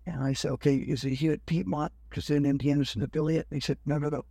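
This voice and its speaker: Older man, husky voice, dry close sound, animated storytelling, quotes others. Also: on the high side, fast talker, no echo. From United States. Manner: animated, acts out other people's lines. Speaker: guest. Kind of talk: storytelling in an interview.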